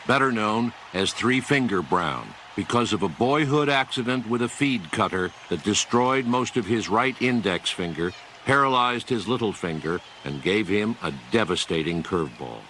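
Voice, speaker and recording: A man's voice: fairly low pitch, lively intonation, moderate pace, few pauses, bright, forceful tone, slight background noise, low-quality recording. A man reading a scripted documentary narration about the past.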